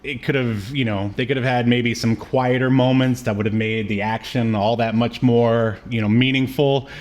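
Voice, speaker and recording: Man chatting casually, halting delivery. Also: medium pitch, fairly even intonation, darkish tone. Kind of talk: casual chat about cartoons.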